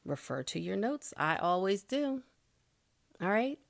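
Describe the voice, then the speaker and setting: A woman's voice: mid-range voice, sing-song, a little slow, vocal fry, no echo. A middle-aged woman giving YouTube tips in a casual, chatty way, with light laughs and loose restarts. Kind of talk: casual spoken tutorial.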